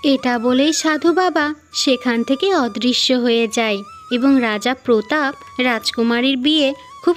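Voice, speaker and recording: Female storyteller, high register, scripted children's fairy-tale narration over background music. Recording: music behind the voice.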